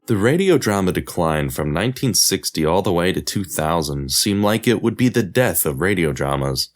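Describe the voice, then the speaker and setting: Low-pitched man narrating with lively intonation. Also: normal speed. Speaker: podcast host and narrator. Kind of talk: scripted documentary narration.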